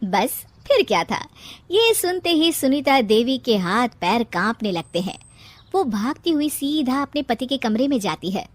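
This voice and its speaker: A woman's voice: a little high, big pitch swings, unhurried, dry recording. A woman narrating a scripted cartoon family story in a storytelling style.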